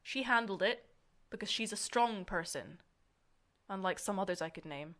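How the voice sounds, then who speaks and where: A woman's voice: mid-range voice, lively intonation, moderate pace, a bit bright, lightly acted. A woman as a cutting, mid-voiced student director. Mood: cold, scornful. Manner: dismissive, sarcastic. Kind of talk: audio drama acting.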